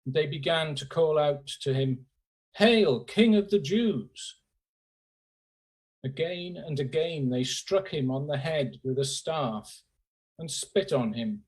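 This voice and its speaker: A man's voice: fairly high pitch, animated, slow, darkish tone, breathy edge, rough recording. A man reading a scripture narrative aloud as part of a church service. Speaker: scripture reader.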